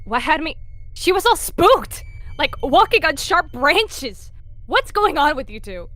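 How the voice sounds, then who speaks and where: A woman voicing a stubborn, grieving young male apprentice cat who shouts and stammers, with faint music behind. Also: high-pitched, big pitch swings, measured pace, dry recording, voice pushed up, exaggerated voice. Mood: distressed.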